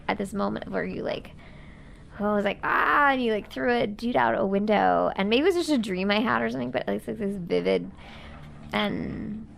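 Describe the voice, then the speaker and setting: A woman improvising casually as a player in a tabletop roleplaying game, speaking in a halting, repetitive, slangy way with lots of "like". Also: mid-range voice, a little hiss or hum. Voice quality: creaky. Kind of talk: casual in-character improvised chat.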